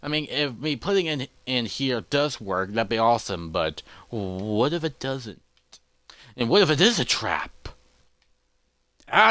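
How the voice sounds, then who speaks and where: Male actor as nervous elephant adventurer, scatterbrained self-talk, very lively pitch, dry close sound. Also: no room sound. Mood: nervous, wary. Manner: fretful self-talk with repeats and hesitations. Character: anxious, distractible male elephant adventurer muttering to himself.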